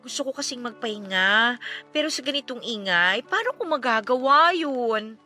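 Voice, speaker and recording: An actress playing a weary working teacher girlfriend in a Tagalog romance audio drama, pleading and gently reproaching her younger boyfriend. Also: a little high, expressive, normal speed, soft background music. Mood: gently reproachful.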